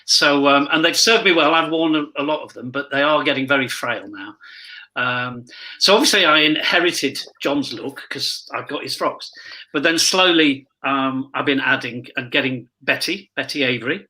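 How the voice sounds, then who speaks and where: Very bright-voiced, chatty rambling man. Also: medium pitch, normal speed, rough recording. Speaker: pantomime dame performer, panel guest. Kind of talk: casual chat over video call. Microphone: earpiece microphone on a remote call.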